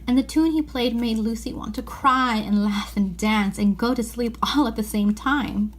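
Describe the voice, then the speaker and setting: A woman narrates a children's storybook. Her voice is on the high side, and she reads the scripted prose expressively. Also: normal speed. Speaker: storybook reader.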